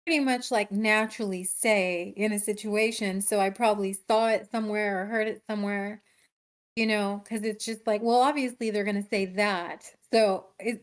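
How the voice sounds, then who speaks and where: A woman speaks in a casual, conversational way on an online meeting. Her voice is smooth and clear, sitting at a medium pitch. She responds as a writer taking feedback. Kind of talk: casual discussion. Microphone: online meeting mic.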